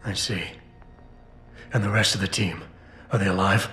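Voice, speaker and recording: An American actor playing a stoic, gravel-voiced cyborg security operative in a video game, terse and deadpan. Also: male voice, sits low, moderate pace. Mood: businesslike. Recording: roomy game audio with music.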